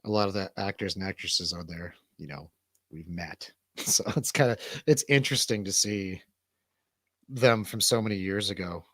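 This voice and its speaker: A man's voice: sits low, moderate pace, a bit bright, no echo. A man hosting a casual livestream chat, laid-back, slangy and rambling. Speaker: host of a livestream show.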